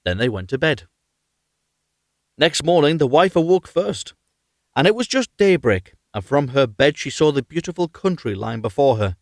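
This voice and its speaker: A man reading a fairy tale aloud live as an expressive storyteller. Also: on the high side, moderate pace, no echo, studio quality. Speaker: storyteller, reader.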